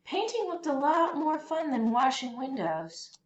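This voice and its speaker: Female storyteller for a children's picture book, highish and very lively in pitch, roomy home-style sound. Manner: animated, deliberate phrasing for young listeners. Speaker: read-aloud storyteller. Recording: roomy echo, faint background noise.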